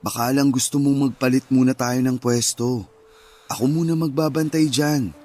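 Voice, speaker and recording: A man's voice: mid-range voice, fairly even intonation, normal speed, a little mellow, close to an everyday voice. A man with a Filipino accent acting as a caring, patient young husband in a radio-style romance drama. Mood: gentle, pleading. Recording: music under the voice.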